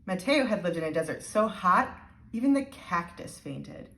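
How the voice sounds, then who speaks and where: A woman reading a children's storybook aloud with deliberate, expressive emphasis. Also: fairly low pitch. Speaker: storybook reader. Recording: roomy, echoey sound.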